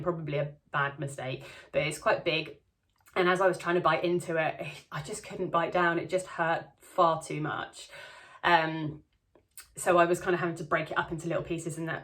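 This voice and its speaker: A woman with a slight lisp, chatting casually in a diary vlog, with many ums and restarts. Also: deep voice. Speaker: vlogger. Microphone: camera close-up.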